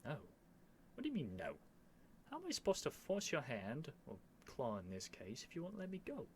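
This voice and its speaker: A man's voice: a little high, wildly swooping pitch, moderate pace, darkish tone, slight background noise. A man playing a stubborn, flustered young prince with haughty, theatrical phrasing in an ASMR roleplay. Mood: exasperated, scheming.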